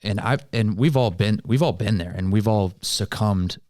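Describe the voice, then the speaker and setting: A man with a low, deep voice speaks as a podcast co-host. He explains motivational ideas in casual, colloquial language, and the recording is dry and close. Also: moderate pace, a little mellow, no room sound.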